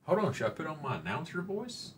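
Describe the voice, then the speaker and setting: A man's voice: a little high, very animated, fast, a little hiss or hum. A middle-aged man, hosting a rambling, joking, mildly profane live-stream chat. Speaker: livestream host.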